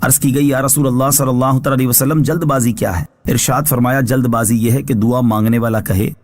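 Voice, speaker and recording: A man giving formal religious commentary as a scholar, reading prepared scripture translation and explanation in a measured, instructive way. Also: medium pitch, fairly even intonation, a little mellow. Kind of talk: religious exegesis, read from a prepared text.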